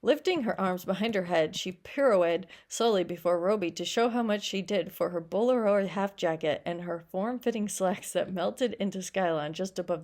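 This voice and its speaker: A woman's voice: fairly low pitch, a little mellow. A woman reading a short story aloud as a teacher, stumbling now and then over words and adding explanatory asides.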